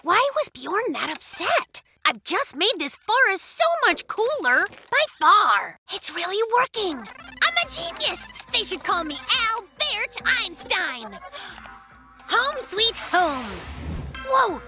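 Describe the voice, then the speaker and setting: A performer voicing an excitable, boastful young polar bear in a children's cartoon, exclamatory and full of slang. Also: very high-pitched, slow, music in the background, heavily acted. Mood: excited, smug. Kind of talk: children's cartoon dub acting.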